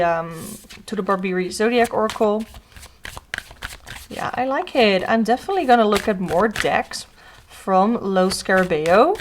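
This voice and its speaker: A woman's voice: mid-range voice, slight background noise. Chatty woman tarot reader. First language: Dutch. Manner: chatty, thinking aloud with frequent "um" and "uh". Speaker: tarot reader, channel host. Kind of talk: casual deck flip-through vlog.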